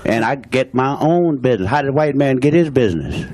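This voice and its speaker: A man's voice: medium pitch, animated, brisk, darkish tone. A man, speaking bluntly and emphatically as a guest on a talk show and repeating his points. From Louisiana, USA. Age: 60s. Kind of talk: impassioned talk show statement.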